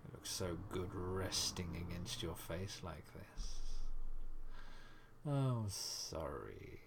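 Slow whispering man, faint hiss. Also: low voice, lively intonation. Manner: soft, breathy, often whispering; murmuring, sighing, reassuring. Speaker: audio roleplay performer. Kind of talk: intimate whispered roleplay.

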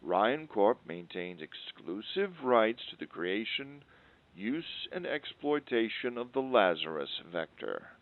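Through a tinny, phone-like filter comes a pompous tycoon villain, acted by a man. He speaks slowly with big swings of pitch, ruthless and legalistic, savoring his own voice. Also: sing-song, heavily acted. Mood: smug, casual menace. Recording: tinny, phone-like narrow band.

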